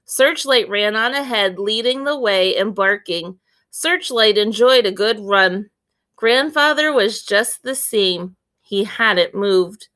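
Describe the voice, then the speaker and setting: A woman reading a children's book aloud as an expressive storyteller, with deliberate, clear phrasing. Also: medium pitch.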